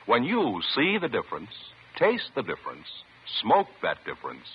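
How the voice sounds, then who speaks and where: A man's voice: medium pitch, wildly swooping pitch, normal speed, husky. A man announcing a 1950s radio commercial with an emphatic sales delivery. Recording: muffled vintage radio recording.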